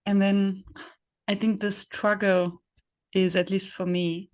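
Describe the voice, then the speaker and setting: A woman's voice: mid-range voice, flat delivery, slow, a little mellow. A woman with a German accent, a native German speaker, chatting reflectively as an interview host and searching for her words. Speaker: podcast host and facilitator. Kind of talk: conversational interview chat.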